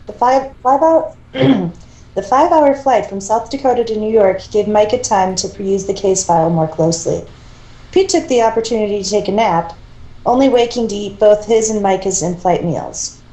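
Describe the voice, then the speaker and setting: A woman with a mellow, mid-pitched voice reads a fan-written story aloud. She handles both narration and dialogue. The amateur recording is noticeably roomy and echoey. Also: normal speed. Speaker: fan fiction reader.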